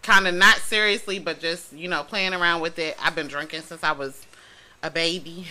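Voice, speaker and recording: Woman, 30s, tipsy podcast guest; low, very bright voice, easygoing storyteller. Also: steady tone, moderate pace, low-quality recording. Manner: laid-back, laughing, rambling storyteller. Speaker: podcast guest, mobile bartender. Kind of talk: casual chat.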